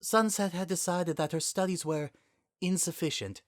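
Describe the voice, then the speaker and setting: A man as a measured, regal pony princess. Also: high-pitched, voice pushed up, natural voice. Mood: grave, careful. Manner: slow, measured, careful. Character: regal female pony princess, composed, gently evasive.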